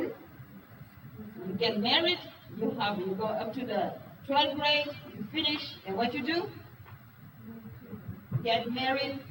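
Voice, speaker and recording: Woman. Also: on the high side. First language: Wolof. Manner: frank and earnest, asks the audience questions. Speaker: speaker at a business talk. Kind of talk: presentation to a live audience. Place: meeting room with an audience. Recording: distant, echoey room recording with noise.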